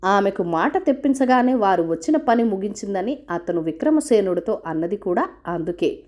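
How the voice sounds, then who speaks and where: A woman's voice: medium pitch, lively intonation, moderate pace, darkish tone. A woman reading a scripted folk tale as a storyteller.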